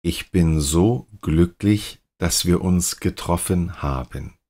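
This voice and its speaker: A man whose first language is German, slowly repeating example sentences as a language teacher. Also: sits low, warm tone.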